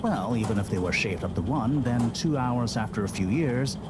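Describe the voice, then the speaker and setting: An actress playing a precise, proud scientist doing calculations in a video game, with a theatrical delivery. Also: female voice, rumbling low, lively intonation, normal speed, heavy background noise. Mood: calculating.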